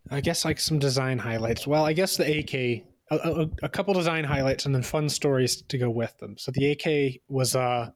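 A man's voice: mid-range voice, moderate pace, few pauses. A man speaking as a podcast guest, telling technical stories in a casual, rambling way. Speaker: podcast guest, firearm designer.

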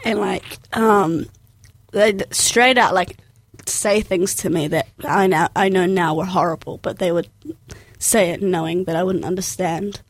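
A woman's voice: fairly low pitch, steady tone, measured pace, no room sound. A young woman with a New Zealand accent whose first language is Arabic, talking casually and filling her sentences with "like". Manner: rambling, fills with "like" constantly. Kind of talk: casual personal chat.

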